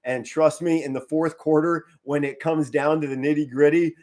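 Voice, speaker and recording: A hoarse middle-aged man from Pennsylvania doing casual live play-by-play as a fan, mostly low and subdued with bursts of excitement. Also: normal speed. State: hoarse, straining to save his voice. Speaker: fan commentator on a live stream.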